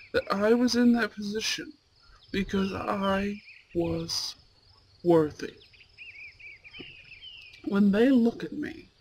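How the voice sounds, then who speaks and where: A woman voicing a proud, imperious young male student in a roleplay audio drama, with haughty, formal and deliberate diction. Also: mid-range voice, slight background noise, natural voice. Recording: steady outdoor bird and insect ambience. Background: birdsong and insects.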